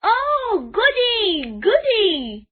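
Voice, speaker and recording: A woman voicing excitable, cheerful schoolchildren in a children's story, exclaiming eagerly. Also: very high voice, extremely slow, narrow, tinny audio, pitched above the performer's own voice, heavily acted. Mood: delighted. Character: excitable, cheerful little schoolgirl and her classmates.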